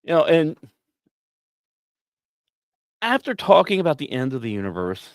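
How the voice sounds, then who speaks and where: Animated man hosting a science podcast. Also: a little high, normal speed, no room sound. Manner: wry, self-deprecating humor. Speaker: podcast host. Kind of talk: scripted science news narration with casual joking asides.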